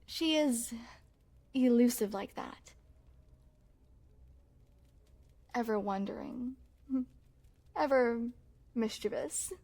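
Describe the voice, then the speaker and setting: Yandere princess, female performer, ASMR roleplay: high and unhurried, regal diction, coy sweetness with possessive menace. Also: a little mellow. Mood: coy, teasing. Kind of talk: ASMR roleplay, scripted monologue to the listener.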